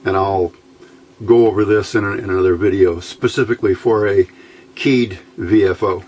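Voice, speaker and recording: Low-voiced man explaining, with background noise. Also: fairly even intonation, darkish tone. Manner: matter-of-fact, halting step-by-step explaining. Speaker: host of an electronics build tutorial. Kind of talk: hands-on technical tutorial, unscripted. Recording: clear background noise, room ambience.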